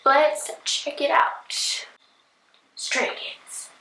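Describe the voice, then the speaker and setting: A woman hosting a casual reaction video, chatting and giggling, often filling pauses with "like". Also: sharp tone, reverberant, rough recording. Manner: chatty, giggly, filler-heavy.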